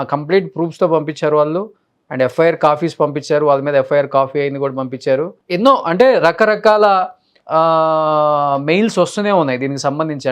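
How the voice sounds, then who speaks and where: A male news anchor giving unscripted commentary, speaking emphatically and listing his points, mixing in English words. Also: a little high.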